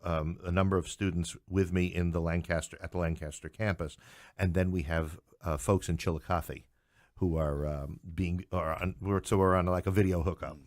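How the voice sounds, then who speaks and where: A middle-aged man with a deep voice in a dry, close recording. He speaks conversationally as an interview guest, spinning anecdotes with animation and often restarting his phrases. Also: fairly even intonation, normal speed, dry recording. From New York City. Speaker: podcast guest, English professor.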